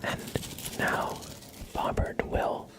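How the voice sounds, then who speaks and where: A man whispering slowly and playfully in an ASMR roleplay style, telling absurd stories with a straight face. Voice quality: breathy whisper with creaky edges. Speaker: ASMR livestream host.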